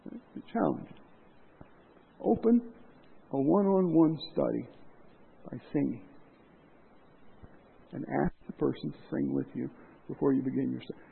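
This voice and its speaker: A man preaching a sermon, impassioned, rising to loud emphasis and dropping low and quiet. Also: measured pace, gravelly, long pauses, breathy edge. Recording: tinny phone-like lo-fi sound.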